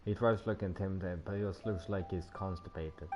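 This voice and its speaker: A man's voice: low voice, steady tone, fairly quick, muffled recording, noisy background. A man giving casual livestream commentary while he plays a game. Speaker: game streamer. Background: video game music.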